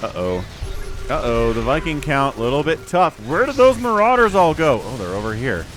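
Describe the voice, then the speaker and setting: A man in his forties giving lively, excitable play-by-play commentary on a strategy game match. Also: medium pitch, moderate pace, a little hiss or hum. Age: 40s. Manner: animated, excitable, self-deprecating asides. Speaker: game caster. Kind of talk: esports commentary.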